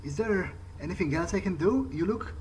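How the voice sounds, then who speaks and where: A man's voice: high-pitched, animated, a little fast, slight background noise, poor audio. A man commenting in character during a video game playthrough, musing aloud in a hesitant way. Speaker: gamer playing in character. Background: loud game music.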